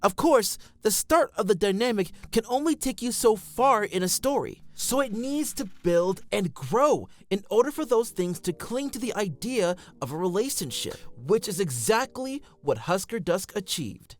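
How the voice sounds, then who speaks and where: A man's voice: sits high, animated, normal speed, few pauses. A man reading scripted fan commentary as a voice-over for a video essay, close on the microphone.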